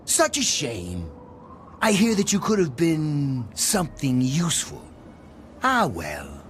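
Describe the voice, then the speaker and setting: Slow, wildly swooping gloating killer. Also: male voice, fairly high pitch, huge pitch swings, a bit bright, soft background music, exaggerated voice. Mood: mocking menace. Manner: mocking, theatrical, drawn-out. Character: gloating, menacing wasteland hired killer.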